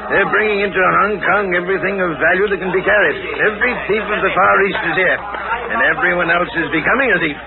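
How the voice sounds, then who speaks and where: An actor playing a blunt, courteous, world-weary ship's captain in a 1940s radio drama. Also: male voice, high voice, fast talker, noisy background. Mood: grim, courteous. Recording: muffled 1940s radio recording.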